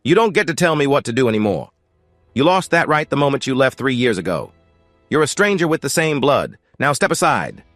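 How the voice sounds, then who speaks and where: A man voicing an angry young adult daughter who shouts accusations at her father. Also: big pitch swings, a little fast, no room sound, faint music behind. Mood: cold. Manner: shouting, accusing, higher pitched. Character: furious young adult woman, bitter daughter.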